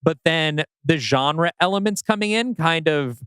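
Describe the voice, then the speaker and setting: A man's voice: on the high side, expressive, unhurried, a bit bright, smooth, no echo, clean studio sound. A man co-hosting a podcast in casual discussion. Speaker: podcast co-host.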